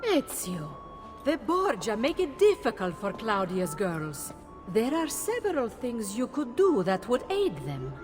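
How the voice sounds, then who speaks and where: A woman's voice: on the high side, huge pitch swings, measured pace, over music. An actress playing a concerned, dignified mature noblewoman in a video game. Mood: concerned, advising. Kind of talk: video game cutscene acting.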